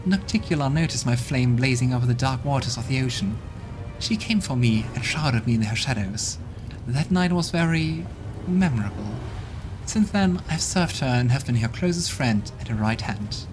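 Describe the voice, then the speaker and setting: A man's voice: medium pitch, expressive, moderate pace, natural voice. A man voicing an arrogant, haughty female demon who talks with contempt, over game music.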